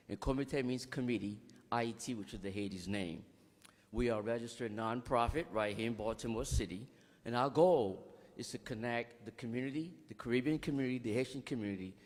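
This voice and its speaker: Man giving a speech in an echoing hall. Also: mid-range voice. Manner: formal speech with frequent restarts and thank-yous. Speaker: executive director of a nonprofit. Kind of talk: public speech at a bill signing.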